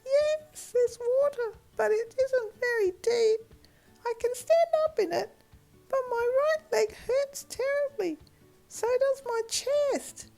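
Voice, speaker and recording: A woman's voice: very high-pitched, takes it slowly, creaky, warm tone, soft background music, voice pushed up, full character voice, rough recording. A woman voicing a hurt, pleading little girl with a small, thin voice in a children's story. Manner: plaintive, pleading.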